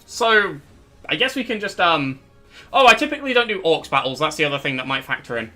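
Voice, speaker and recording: A man's voice: a little high, sing-song, a little fast, pushed and bright. Chatty young man with a cracking voice. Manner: rambling, self-correcting, chatty. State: voice cracking, losing his voice. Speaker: streamer hosting a tier list stream. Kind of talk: casual live-stream chat. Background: faint background music.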